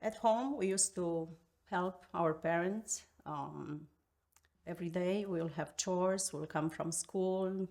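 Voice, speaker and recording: A woman in her fifties from Romania with a Romanian accent, telling her life story as an interview guest in a halting, reflective way with many pauses. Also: fairly low pitch, a little mellow.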